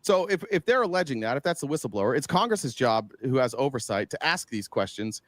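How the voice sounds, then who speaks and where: A man's voice: mid-range voice, sing-song, fairly quick, fairly bright tone, no room sound. A man giving casual political commentary, emphatic, throwing out rhetorical questions and swearing freely.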